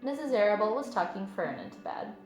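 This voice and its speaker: A woman reading a children's book aloud in expressive storybook narration. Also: mid-range voice, fairly quick. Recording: echoey room, music behind.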